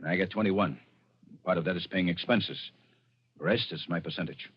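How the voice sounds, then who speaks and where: An actor playing a firm, businesslike American gang boss in 1940s radio style, blunt and authoritative. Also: male voice, deep voice, moderate pace, breathy edge. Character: firm, businesslike male gang boss, stern but placating. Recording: dull, noisy old radio recording.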